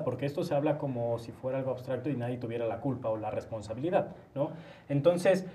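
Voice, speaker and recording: Male panelist, dark mellow tone, recorded away from the mic, explanatory. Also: mid-range voice. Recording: distant from the microphone.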